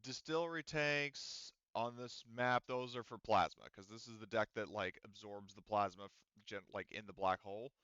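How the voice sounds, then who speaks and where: A man's voice: lowish voice, normal speed, hardly any pauses, pushed and bright, smooth, dry recording, highs cut off. A man running a tabletop game as game master, explaining the setting and rules while thinking aloud with lots of "um" and "like.". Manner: rambling, thinking aloud with many "um" and "like".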